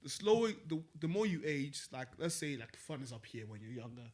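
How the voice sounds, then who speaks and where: A man's voice: on the high side, very animated, a little fast, darkish tone. A man, a podcast co-host in casual chat, blunt and sweary as he hands out advice. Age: 20s.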